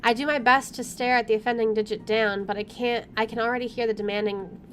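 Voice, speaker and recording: A woman reads game narration aloud as a let's play host. Her voice is fairly high, she trips over and rereads the odd phrase, and there is faint noise behind her. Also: steady tone, quick pace, a bit bright. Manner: stumbles over words and rereads them. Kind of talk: reading visual novel narration aloud with side comments.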